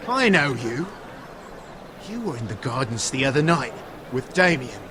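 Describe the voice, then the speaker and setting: An actor playing a rough, suspicious guard in a game, with a threatening, interrogating manner. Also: male voice, mid-range voice, very animated, a little slow, noisy background. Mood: menacing. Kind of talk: dramatic game cutscene acting.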